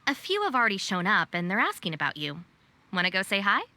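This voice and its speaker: A woman's voice: medium pitch, big pitch swings, fast, heavy background noise. A woman voicing a composed, practical young gang deputy in a video game, speaking politely and matter-of-factly. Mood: friendly.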